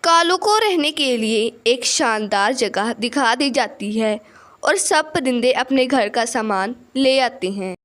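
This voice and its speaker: A woman narrates a children's story from a script. Her voice sits high, and she delivers the lines at a very slow, measured pace. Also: lively intonation, a bit bright.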